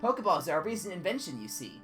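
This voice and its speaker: A man's voice: sits high, big pitch swings, quick pace, fairly bright tone, soft background music. Man putting on a fussy, flustered scholar. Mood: explaining. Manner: theatrical, overly polite old-fashioned phrasing. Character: fussy, excitable male scholar of creatures.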